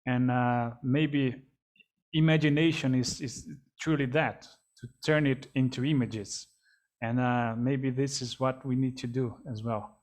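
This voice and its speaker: Man moderating a video call panel, polite, measured pace, filler-heavy. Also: mid-range voice, fairly even intonation, a little mellow.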